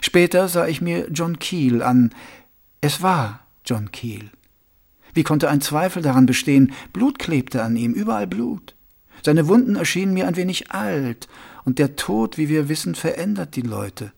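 A man voicing a suave, cool-headed male city ruler and former assassin who talks in a smooth, measured, faintly amused way, a little higher than the narration. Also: expressive, darkish tone, heavily acted. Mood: silky, measured.

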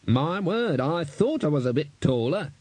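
A man's voice: a little high, sing-song, normal speed, darkish tone, slightly rough, no echo, voice pushed up. A man voicing a flippant, mildly pompous senior wizard with a rambling, hesitant manner, in an audiobook. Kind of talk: audiobook character voice.

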